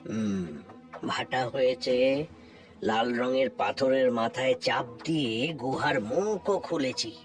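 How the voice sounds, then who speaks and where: A man voicing a scheming, greedy royal minister in a Bengali cartoon, muttering to himself excitably. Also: mid-range voice, a little slow, over music, close to an everyday voice. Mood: muttering, plotting. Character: scheming, greedy minister sneaking after treasure alone.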